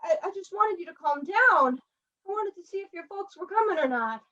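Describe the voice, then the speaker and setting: A young woman playing a kindly older museum janitor in a student stage play, chatty and rough-edged, with a folksy, halting way of talking. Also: extremely high pitch, expressive, bright, forceful tone, lo-fi sound, exaggerated voice. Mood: flustered, apologetic. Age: young adult. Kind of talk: student one-act stage play acting.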